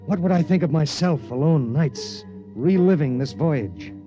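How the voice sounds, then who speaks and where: An actor playing a weary male spaceship pilot who narrates in a low, grave and restrained way in a 1950s radio drama. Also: lively intonation, measured pace. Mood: grave narration. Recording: muffled old radio recording with music.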